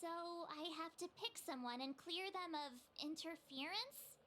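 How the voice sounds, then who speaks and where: A woman's voice: very high-pitched, lively intonation, moderate pace, bright, forceful tone, a little hiss or hum, full character voice. A woman voicing a perky, naive teenage girl adventurer in a video game, with a cutesy, informal and hesitant manner. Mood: nervous, chatty. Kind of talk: scripted video game voice acting.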